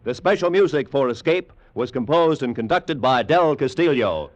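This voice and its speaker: A man's voice: medium pitch, lively intonation, normal speed. American man, 1940s network announcer, formal scripted read, very inflected, dull old radio recording. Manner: polished, formal announcer delivery. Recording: muffled vintage radio recording.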